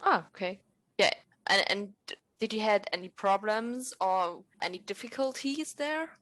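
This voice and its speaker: A woman's voice: mid-range voice, moderate pace, fairly bright tone, no echo. A woman hosting a casual podcast interview, keeping to brief and encouraging prompts. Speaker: podcast host.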